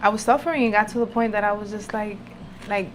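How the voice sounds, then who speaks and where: A woman's voice: mid-range voice, fast talker. A woman tells personal stories as a guest. She is informal and emphatic, repeating phrases and mixing in Spanish, in a mid-range voice over faint ambient noise. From Dominican Republic, later New York City. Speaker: podcast guest, spiritual entrepreneur. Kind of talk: candid personal storytelling, casual.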